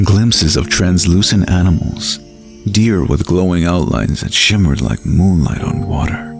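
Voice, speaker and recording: Deep, gravelly male storyteller. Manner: measured, literary, atmospheric delivery. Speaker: narrator. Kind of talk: scripted fantasy bedtime story narration. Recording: light music behind the voice.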